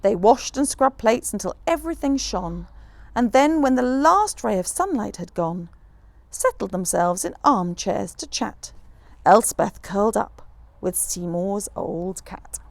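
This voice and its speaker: A woman reading a rhyming children's picture book aloud in an expressive, rhythmic storyteller's manner. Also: medium pitch, unhurried, creaky, no echo. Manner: animated, rhythmic rhyming delivery.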